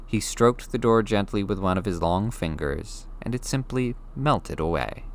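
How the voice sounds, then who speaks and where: Deep-voiced man reading a story aloud. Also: lively intonation, a little slow. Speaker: audiobook reader.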